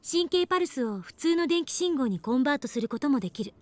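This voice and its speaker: An actress playing a brisk, confident young woman scientist explaining things in an anime visual novel. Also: sits high, animated, darkish tone. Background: faint game music.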